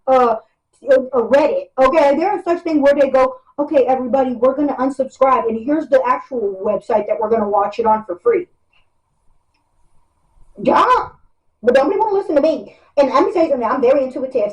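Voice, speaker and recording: A woman's voice: high voice, muffled recording. A woman in a casual rant, blunt and profane, repeating her phrases for emphasis. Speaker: reality show cast member. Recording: roomy echo, distant from mic.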